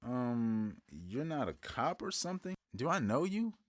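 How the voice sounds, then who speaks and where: A man's voice: mid-range voice, big pitch swings, unhurried, no echo, studio quality. A man playing a nervous, nerdy young banker who turns gushing and eager. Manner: flustered, then gushing.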